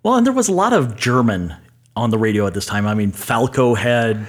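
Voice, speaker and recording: A man's voice: medium pitch, sing-song, a little fast. Man tossing out dry music asides. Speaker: podcast co-host. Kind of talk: casual music discussion.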